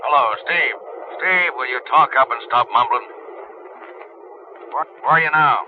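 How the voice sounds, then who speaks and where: An actor playing an urgent dive captain in a radio thriller, brisk and commanding. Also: male voice, mid-range voice, over loud music, close to an everyday voice. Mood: impatient. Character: urgent male captain directing a dive from deck. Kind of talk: vintage radio thriller acting. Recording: muffled, noisy old radio drama recording.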